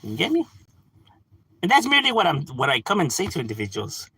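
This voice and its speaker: A man hosts a casual stream, talking straight into a close, dry mic. His voice is husky and sits a bit high, with a lively, swooping pitch. He argues his point with lots of "bro" and "you know", chuckling as he goes. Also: fast talker, dry recording. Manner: animated, argumentative, addresses chat as "bro"; frequent laughs and filler "you know". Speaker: livestream host. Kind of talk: casual livestream rant.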